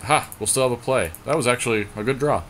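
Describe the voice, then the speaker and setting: A man's voice: medium pitch, quick pace, background noise. A man playing a card game as a podcast co-host, informally narrating his moves aloud in casual commentary.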